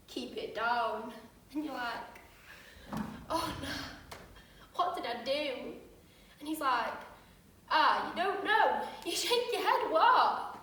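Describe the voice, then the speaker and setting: A woman's voice: high-pitched, a bit bright. Young woman in a cell, vivid storyteller; woman in role; far-off, very echoey. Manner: vivid storytelling, acting out the voices of others. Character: young woman waking up in a jail cell, shaken. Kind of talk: stage monologue.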